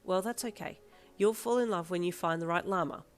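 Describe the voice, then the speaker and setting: A sulky teenage girl speaks in a flat, deadpan way, as a woman reads her. The voice sits low for a woman, with faint music behind. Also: fairly quick, lightly acted.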